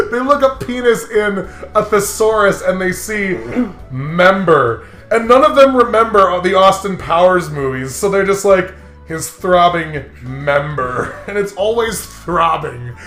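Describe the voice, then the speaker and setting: A man's voice: sits high, lively intonation, measured pace, a bit bright. A man giving casual, crude and giggly joking commentary on a game, sometimes slipping into mock-dramatic narration. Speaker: let's play host. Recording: roomy echo, music underneath.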